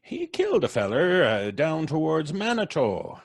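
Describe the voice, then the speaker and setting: An actor playing a gloating old man with a rural American accent and a husky voice, in old-time radio horror style. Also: a little high, huge pitch swings, moderate pace, heavily acted. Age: older. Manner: drawling, chuckling, taunting.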